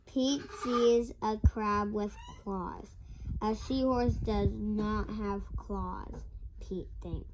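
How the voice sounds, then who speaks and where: A young girl reading a picture book aloud in a halting, word-by-word way. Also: mid-range voice, noisy background. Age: child. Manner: halting, word-by-word early-reader delivery. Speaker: young reader.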